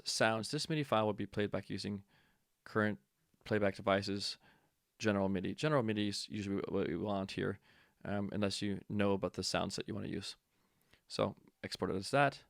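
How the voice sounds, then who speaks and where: A man with a low voice and plenty of fry, talking through a software walkthrough like an instructor. He is informal and offhand, thinking aloud as he goes. The sound is close and dry. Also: no room sound. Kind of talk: casual software tutorial walkthrough.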